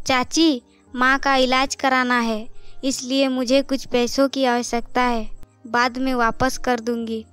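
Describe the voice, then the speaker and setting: A woman's voice: high voice, flat delivery, a little slow, fairly bright tone, smooth, soft background music. A woman voicing a polite, earnest young daughter in a cartoon fairy tale. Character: polite, anxious young girl devoted to her mother.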